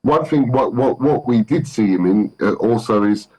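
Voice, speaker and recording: A man's voice: mid-range voice, animated, moderate pace, a little mellow, rough recording. A man from Turkey with a London accent, a football manager speaking as a guest, thinking aloud with many fillers and restarts. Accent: London English. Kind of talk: remote interview.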